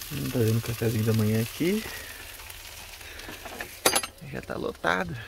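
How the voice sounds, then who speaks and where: A man's voice: a little high, creaky, heavy background noise. Brazilian man vlogging outdoors. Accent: Brazilian Portuguese. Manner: chatty, mixes in English phrases. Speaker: travel vlogger. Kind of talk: casual travel vlog narration. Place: outdoors by a mountain lake and forest trail.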